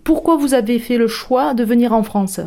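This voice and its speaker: Woman asking patient interview questions, a bit high, soft mellow tone. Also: moderate pace.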